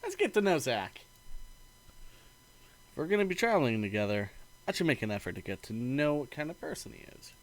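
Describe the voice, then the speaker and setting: A man reading a visual novel aloud in an expressive, playful narrating style. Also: on the high side, a little fast, pauses often, rough recording.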